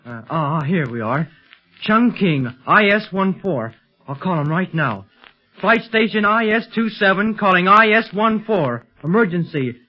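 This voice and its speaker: A male actor playing an eager, polite fifteen-year-old boy adventurer in a 1930s radio serial, boyish and excitable. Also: high voice, measured pace, faint music behind. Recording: muffled, dull 1930s radio recording.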